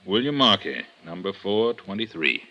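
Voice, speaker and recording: A baffled, wisecracking veteran American police detective in a 1950s radio drama. He sounds middle-aged, with a sharp, forceful edge and a very lively swing in pitch. His manner is folksy and dry. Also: normal speed, lo-fi sound, full character voice. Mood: weary, baffled.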